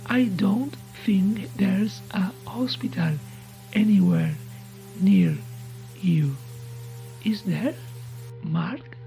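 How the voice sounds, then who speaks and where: A woman voicing a sinister radio entity in a horror story, taunting its victim with mock-friendly, unhurried questions. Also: mid-range voice, vocal fry, warm tone, soft background music, rough recording. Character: sinister taunting radio voice that knows secret fears.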